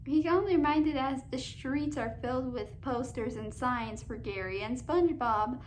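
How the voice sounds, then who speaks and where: A woman with a notably high voice hosts a casual commentary video. She moves between chatty remarks and read-aloud summaries. Her delivery is halting, with frequent stumbles and repeated words. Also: slight background noise. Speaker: host of a cartoon countdown video.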